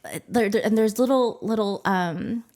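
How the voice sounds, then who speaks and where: A woman's voice: medium pitch, moderate pace, darkish tone. A woman psychotherapist whose first language is Cantonese, earnestly explaining ideas in a casual interview and often searching for words mid-sentence. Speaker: psychotherapist guest.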